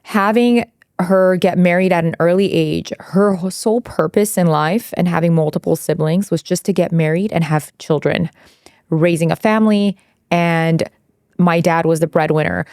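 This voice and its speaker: Woman co-host, 40s, from Iran: lowish voice, candid, swears freely, dry close sound. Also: moderate pace, a little mellow, clear, resonant tone, dry recording. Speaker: podcast co-host. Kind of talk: casual chat.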